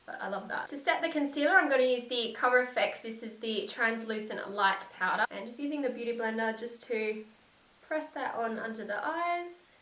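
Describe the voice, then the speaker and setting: A young woman with a slightly croaky voice from a cold, narrating a makeup tutorial and gushing softly about the products. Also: on the high side, normal speed, distant mic. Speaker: beauty vlogger. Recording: echoey room, set back from mic.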